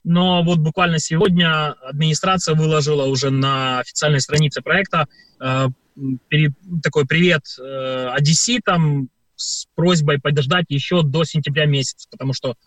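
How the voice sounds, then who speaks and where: A man's voice: fairly high pitch, steady tone, normal speed, fairly bright tone, rough recording. A man from Ukraine, a performer, answering radio interview questions at length with many hesitant filler sounds. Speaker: performer and guest.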